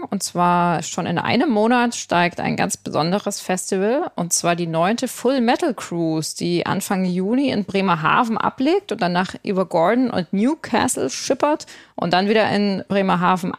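A woman's voice: mid-range voice, dry recording, clean studio sound. A woman co-hosting a music podcast, presenting reviews and previews of events.

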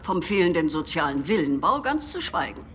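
An actress playing a formal, placating, self-justifying politician in a comic sci-fi radio play. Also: female voice, fairly low pitch, big pitch swings, normal speed, fairly bright tone. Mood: defensive.

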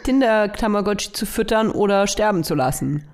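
A woman's voice: mid-range voice, expressive, a little fast, darkish tone. A young woman co-hosting a podcast, teasing her co-host in casual chat. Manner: teasing, playful, with hesitant fillers.

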